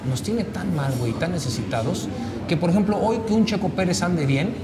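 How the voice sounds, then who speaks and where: A man's voice: sits high, a little fast. A man in his forties from Mexico, talking casually at a table with blunt, profane slang, on a lapel mic. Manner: blunt and profane, heavy slang, gestures a lot. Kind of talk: casual chat. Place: sitting at a table in a small room indoors. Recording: roomy with steady background noise.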